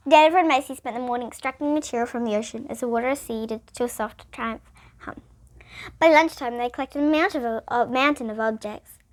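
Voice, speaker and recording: A female reader, reading a children's picture book aloud in an expressive, emphatic way. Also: fairly high pitch, fairly quick.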